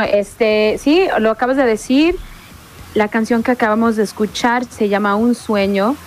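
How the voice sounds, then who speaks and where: A middle-aged woman from Mexico, a singer-songwriter, chatting casually in an interview and often pausing to search for words. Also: a little high, slight background noise.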